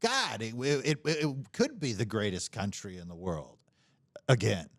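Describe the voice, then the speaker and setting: Man, casual comic banter, animated and rambling, swearing, lively intonation, close dry sound. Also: on the low side, unhurried, no room sound. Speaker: livestream show host. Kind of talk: casual comedic chat.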